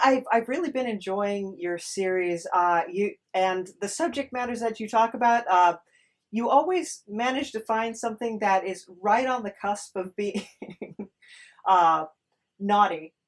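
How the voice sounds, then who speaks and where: A woman's voice: medium pitch, animated, unhurried. A woman interviewing casually as a podcast host, in a hesitant, encouraging manner.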